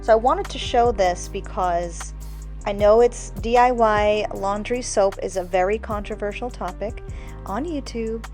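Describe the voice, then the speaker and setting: Frank woman demonstrating over soft music. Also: mid-range voice, a little slow. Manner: relaxed, frank, a little defiant. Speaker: homesteading channel host. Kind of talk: casual how-to demonstration. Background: faint music.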